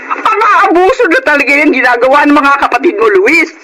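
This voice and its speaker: A woman's voice: sits high, lively intonation, a little fast, sharp tone, slight background noise, low-quality recording. A Filipino woman acting an indignant middle-aged wife and mother in an emotional radio drama, scolding and fed up with her in-laws. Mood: indignant, upset.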